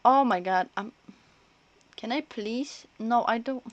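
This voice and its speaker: A woman's voice: a little high, takes it slowly, muffled recording. A woman doing casual live gameplay commentary, chatting and thinking aloud as she streams. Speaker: game streamer.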